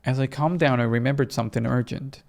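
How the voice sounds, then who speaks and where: A narrator reading a first-person horror story dramatically, in a measured, suspenseful way. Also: medium pitch, vocal fry, a little mellow. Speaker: game narrator and reader.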